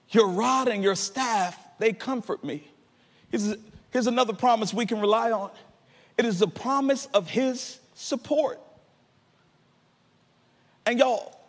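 A man's voice: high-pitched, breathy edge. A man in his thirties preaching a sermon from a church stage into a handheld mic, rising into half-sung, impassioned peaks. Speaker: pastor. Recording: long hall reverb.

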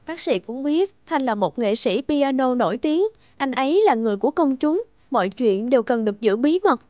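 A woman playing a caring, earnest young woman in an animated drama, telling things gently. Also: sits high, normal speed, no room sound, dull audio. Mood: sad, earnest.

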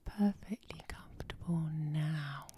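A woman giving guided sleep hypnosis in a soft, whispery ASMR style, gentle and soothing. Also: low voice, a little slow. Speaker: hypnotherapist, channel host.

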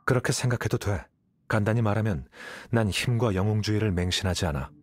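An actor playing an aloof, rational young scholar in an anime game, coolly self-assured, speaking in a measured, matter-of-fact way. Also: male voice, lowish voice, lightly acted. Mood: detached, blunt.

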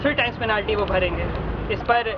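A man's voice: high voice, brisk, highs cut off. A man in his thirties, a government official, questioning shopkeepers sternly in an outdoor market and then making a statement. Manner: stern, firm interrogating tone. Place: outdoors on a busy market street. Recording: loud street noise.

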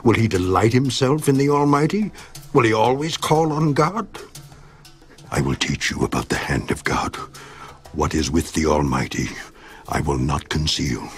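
Job, steadfast and deep-voiced. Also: male voice, very animated, normal speed, faint music behind. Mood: solemn. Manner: solemn, declamatory, rising and falling emphasis. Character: Job, an aged, suffering, steadfast man. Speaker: voice actor in a Bible audio drama. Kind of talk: dramatized scripture reading.

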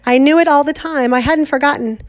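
A woman's voice: sits high, a little fast, dry recording, lightly acted. A woman voicing a stubborn, defensive boy who leads a group of castaways, speaking in insistent, clipped lines.

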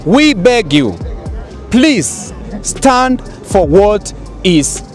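A man's voice: sits high, very animated, extremely slow, faint music behind. A Kenyan man pleading emphatically at an outdoor street protest, speaking into a handheld mic. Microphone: handheld mic held up to him.

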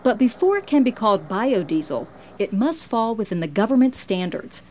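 A woman narrating a farm feature report in a bright, upbeat scripted style. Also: mid-range voice, big pitch swings, normal speed, a little hiss or hum. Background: soft music.

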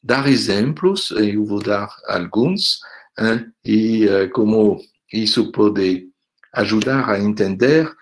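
An older man with a French accent, a visiting professor wrapping up an online lecture on a video call from home, speaking haltingly with many fillers and tag questions. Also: lowish voice. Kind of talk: closing remarks of an online lecture. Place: home room indoors. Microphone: laptop webcam on a video call.

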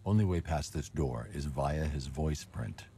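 An actor playing a grim, stoic masked vigilante in a video game, terse, controlled and deadpan. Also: male voice, extremely low pitch, measured pace, a little hiss or hum. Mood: grim, determined. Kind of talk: scripted video game acting.

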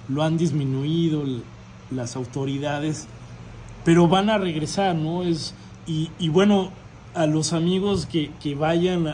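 Effusive Mexican man interviewing, higher-pitched voice. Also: mellow, poor audio. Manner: effusive, rambling. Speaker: host interviewer of a city history channel. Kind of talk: informal on-location interview. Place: restaurant dining room, indoors. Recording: background noise, restaurant room ambience.

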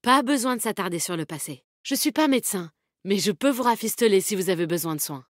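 A woman's voice: medium pitch, animated, fast, no echo, studio quality, natural voice. An actress playing a tough, confident, protective woman fighter in a video game, with a bold, cheeky, commanding manner. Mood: determined. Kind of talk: video game character voice lines, combat callouts.

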